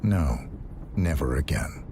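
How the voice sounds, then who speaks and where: An actor playing a cold, middle-aged assassin who speaks in terse, flat, clipped lines. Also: male voice, a bass voice, slow pace, noisy background, heavily acted.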